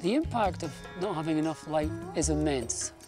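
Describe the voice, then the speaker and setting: A man's voice: on the high side, expressive, normal speed, loud music behind the voice. Man explaining plainly in an interview. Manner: plain, explanatory, a few restarts. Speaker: electrical engineer.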